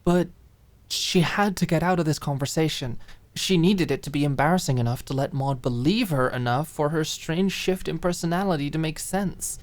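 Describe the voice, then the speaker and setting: Woman, low voice, audiobook narration, dramatic, expressive storytelling. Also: moderate pace.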